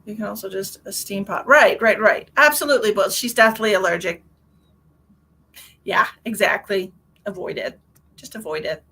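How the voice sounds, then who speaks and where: A woman's voice: medium pitch, moderate pace, vocal fry, long pauses, pushed and bright, slightly rough. A woman hosting a tarot livestream, chatting casually and rambling as she answers viewer comments, a little stuffy-nosed, talking from her car. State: stuffy nose.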